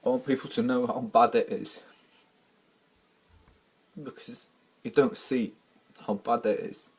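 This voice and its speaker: A man from Wales, speaking in a halting, emotional video diary while distressed and close to tears. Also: mid-range voice, steady tone, gravelly, a little mellow, slightly rough. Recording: old archival video clip.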